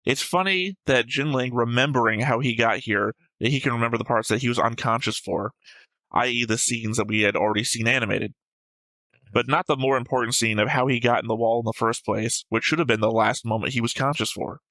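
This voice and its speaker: A man's voice: medium pitch, a little fast, sharp tone, dry recording, low-quality recording. A man co-hosting a casual discussion show, going through notes in a dry, analytical way. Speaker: reaction show co-host.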